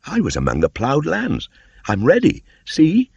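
A man's voice: fairly high pitch, wildly swooping pitch, a little slow, gravelly, a little mellow, slightly rough, dry recording, highs cut off, pitched above the performer's own voice, full character voice. A man voicing a bold, earnest boy raised by wolves in a storybook, with eager, declarative speech. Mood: confident.

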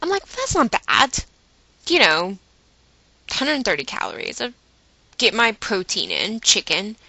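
A woman with a bright, forceful voice tells a story to her viewers like a chatty vlogger. She takes her time, leaning on words for dramatic effect and acting out her own inner dialogue. The sound is close and dry. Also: medium pitch, wildly swooping pitch, measured pace, dry recording. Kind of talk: animated storytelling monologue to viewers.

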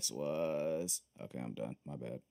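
Mellow-voiced man trash-talking over gameplay. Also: very deep voice, measured pace, vocal fry. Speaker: gamer. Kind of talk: gameplay commentary.

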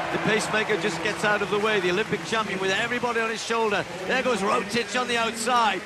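A man giving live play-by-play athletics commentary, building to shouts as the race unfolds. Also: high voice. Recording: broadcast commentary over loud stadium noise.

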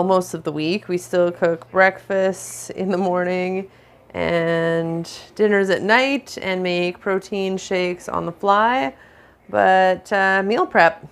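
A woman casually narrating her weekly meal prep in a home kitchen, with relaxed, dry running commentary. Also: medium pitch. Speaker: home cook vlogger.

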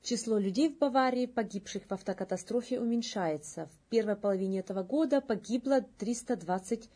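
A woman in her thirties reading scripted news as a presenter, with a slightly husky voice. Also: fairly high pitch, fairly quick, warm tone.

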